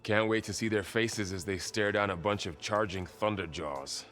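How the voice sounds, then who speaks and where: Man playing a playful, low-voiced loyal companion. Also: moderate pace, fairly bright tone, natural voice. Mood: eager. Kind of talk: video game cutscene acting. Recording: background music under the voice.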